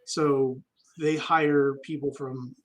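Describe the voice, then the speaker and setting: A man's voice: medium pitch, level tone, slow pace. A man, an army veteran guest, telling stories candidly and reflectively in a casual interview, with frequent ums.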